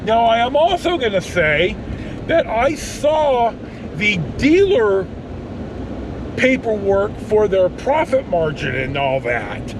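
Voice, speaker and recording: Middle-aged American male vlogger, high register, blunt halting rambler, light cabin noise behind. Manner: halting, blunt, mildly profane. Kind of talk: casual rambling storytelling. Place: inside a pickup truck on the road. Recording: steady vehicle cabin noise.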